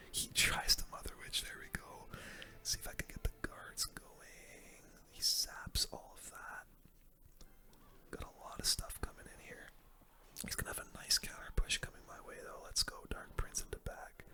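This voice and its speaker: ASMR gaming host: soft unvoiced whisper throughout, casual hushed play-by-play commentary.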